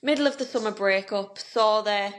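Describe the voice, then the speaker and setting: A woman's voice: mid-range voice, normal speed. A young woman, co-hosting a podcast in casual, bubbly chat. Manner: chatty, bubbly, gushing. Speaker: podcast co-host.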